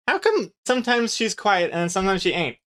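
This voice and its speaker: A comic artist streams live and chats casually with viewers in a mid-pitched voice. The accent is mixed, not quite New Zealand. The talk rambles along as the artist thinks aloud. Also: very animated, brisk, fairly bright tone. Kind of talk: casual livestream chat with viewers.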